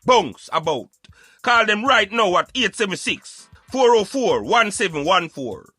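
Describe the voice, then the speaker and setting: A Jamaican man hosting a casual talk show in patois, animated and emphatic as he addresses his listeners. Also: sits high, a little slow, breathy edge, dry recording, faint music behind. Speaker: radio show host.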